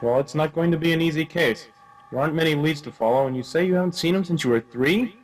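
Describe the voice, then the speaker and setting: A man playing a hapless, wry private detective in a comic noir radio play, delivered deadpan. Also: a little high, fast talker, lightly acted. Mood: curious.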